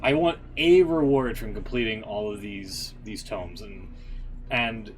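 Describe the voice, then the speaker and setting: A man's voice: on the low side, unhurried, rough recording. A man streaming a game, giving casual, wry commentary in short informal reactions. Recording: music behind the voice. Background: clearly audible music.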